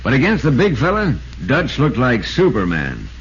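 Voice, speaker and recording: A man's voice: mid-range voice, wildly swooping pitch, moderate pace. A man acting an earnest, insistent baseball reporter in 1940s American radio drama style. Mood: dramatic storytelling. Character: earnest, urgent male baseball reporter telling his story. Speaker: narrator and actor in a radio drama. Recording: muffled, noisy vintage radio recording.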